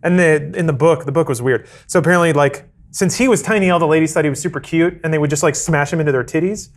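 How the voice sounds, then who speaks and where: A man's voice: a little high, fast talker. A man hosting a casual podcast chat, rambling through stories and reading out viewer comments. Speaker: podcast host.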